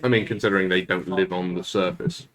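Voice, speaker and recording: A man's voice: sits low, fairly even intonation, fast talker, crowd noise. A man playing a tabletop game, chatting and bantering in a jokey way. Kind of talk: casual bantering chat.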